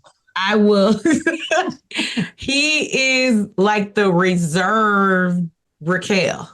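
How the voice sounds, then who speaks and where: A woman with a raspy voice, talking casually and playfully about her husband on a podcast. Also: mid-range voice, drawn-out words. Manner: animated, playful, teasing. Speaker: podcast guest, wife. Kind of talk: casual chat.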